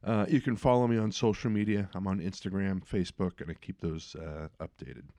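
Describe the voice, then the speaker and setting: A middle-aged man hosting a podcast, chatting casually with many fillers and trailing off quietly. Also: sits low, steady tone, a little fast. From eastern United States. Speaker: podcast host. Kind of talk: casual interview chat.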